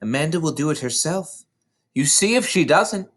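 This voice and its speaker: A clever, knowing little schoolgirl in a storybook, voiced by a man. She speaks with confident emphasis in a lightly raised pitch. Her delivery swings up and down as she explains. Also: expressive, normal speed, fairly bright tone. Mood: knowing, emphatic.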